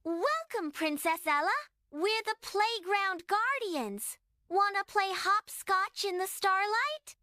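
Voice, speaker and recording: A woman's voice: very high-pitched, lively intonation, slow, sharp tone, no echo, studio quality, heavily acted. A woman voicing a tiny, friendly, childlike magical sprite in a children's bedtime story. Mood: playful, welcoming.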